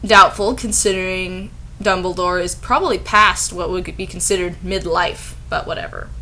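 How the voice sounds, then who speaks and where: Bright-voiced sarcastic woman reviewer. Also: fairly low pitch, steady tone, normal speed, slight background noise. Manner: sarcastic, incredulous asides. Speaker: reviewer reading fan fiction aloud. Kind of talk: dramatic reading with mocking commentary.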